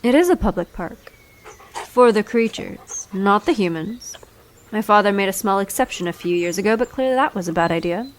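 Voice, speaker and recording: A woman's voice: mid-range voice, lively intonation, normal speed, a little hiss or hum, close to an everyday voice. A woman playing a haughty, hostile demigod, child of the underworld god, in an audio drama, speaking in a cold, imperious way. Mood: stern. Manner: cold, imperious, formal phrasing.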